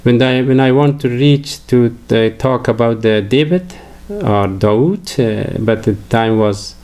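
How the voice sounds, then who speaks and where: An older man from Afghanistan with an Afghan accent, a native Farsi speaker, giving a halting religious lecture full of fillers and restarts. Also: medium pitch, a little mellow, smooth. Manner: hesitant, many fillers and restarts.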